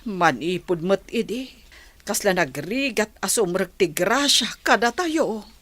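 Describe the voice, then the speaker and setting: A middle-aged woman with a Filipino accent playing a patient village mother who gives gentle moral advice in a radio drama. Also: medium pitch, lively intonation, a little slow, no echo. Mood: concerned.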